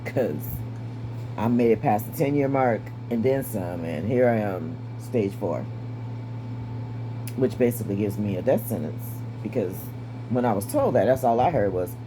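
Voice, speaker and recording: A woman in her fifties with a raspy, strained voice and short breath, giving a candid personal update. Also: extremely low pitch, animated, normal speed, background noise. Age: 50s. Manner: candid, wry, stays positive. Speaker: vlogger. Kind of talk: casual personal update to camera.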